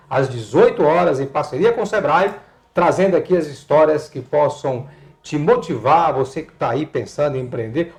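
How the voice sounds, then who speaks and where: A man's voice: fairly high pitch, a little mellow. A man from Bahia, Brazil, hosting a live interview show in a chatty, colloquial way, speaking straight to viewers. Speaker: TV show host.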